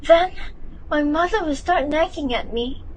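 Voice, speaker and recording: A woman's voice: sits high, a little slow, slight background noise, rough recording. A woman playing a silly, dramatic female student in an amateur reader's theater piece. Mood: anxious, relieved.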